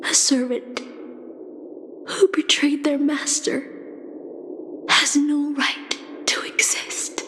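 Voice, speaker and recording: An actress playing a bitter, despairing fallen singer in a game, hushed and breathy. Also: female voice, high voice, flat delivery, takes it slowly, pauses often, noisy background. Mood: cold, bitter. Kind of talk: dramatic game voice acting. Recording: long reverberant hall, music behind.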